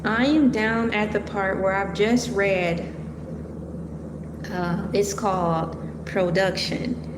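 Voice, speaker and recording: A woman's voice: medium pitch, fairly even intonation, slow. A woman from Michigan with a slight Southern accent, casually reading about a cartoon and making playful, rambling asides. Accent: Southern US, Georgia-tinged. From Michigan, USA. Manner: rambling, playful, stops to comment on names. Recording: echoey room with long reverb and noise.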